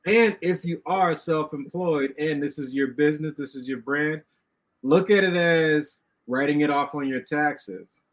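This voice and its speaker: Measured male co-host, unhurried, slightly raised pitch. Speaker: podcast co-host. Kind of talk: casual podcast chat.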